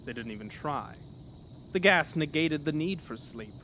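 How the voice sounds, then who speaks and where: A man's voice: on the high side, expressive, moderate pace, sharp tone, slight background noise. A man narrating a scripted horror story in a dramatic, theatrical manner.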